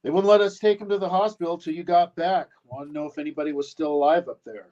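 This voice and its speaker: A man playing a slow-witted small-town deputy at an amateur table read, on a lo-fi call microphone. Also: a little high, steady tone, a little mellow, natural voice. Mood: plain, dim.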